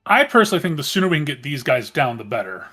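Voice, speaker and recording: A man's voice: fairly high pitch, big pitch swings, fast talker, pushed and bright, slightly rough, faint music behind. A man chatting casually about strategy as a player in a tabletop roleplaying game.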